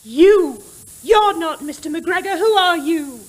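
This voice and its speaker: A woman's voice: very high-pitched, lively intonation, takes it slowly, heavily acted. A woman playing an indignant, panicky lady crook, melodramatic and exclamatory in a 1940s radio drama style. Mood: outraged.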